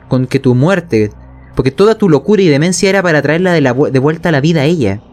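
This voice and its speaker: Chilean man, higher-pitched voice, colloquial game master teaching storytelling and checking that listeners follow. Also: a little mellow. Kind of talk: explanatory conversation on tabletop role-playing narration.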